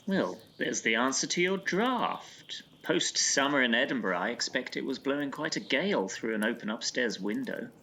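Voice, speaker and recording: A bright, lively British gentleman listener. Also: mid-range voice, normal speed, hardly any pauses, slight background noise. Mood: reasoning. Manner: formal, politely reasoning. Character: genteel, attentive gentleman listening to a ghost story. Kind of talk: audio drama dialogue.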